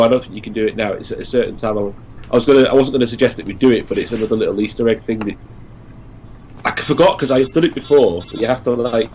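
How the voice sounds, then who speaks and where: A man's voice: mid-range voice, a little mellow, slight background noise, poor audio. A man giving casual co-commentary on a video game, talking as he would with friends. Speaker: let's play co-commentator. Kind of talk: casual gaming commentary chat.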